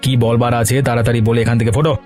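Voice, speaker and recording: A man's voice: mid-range voice, level tone, rapid-fire, a little mellow, soft background music, natural voice. A man voicing a curt, official village policeman in a cartoon. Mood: impatient. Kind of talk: cartoon voice acting.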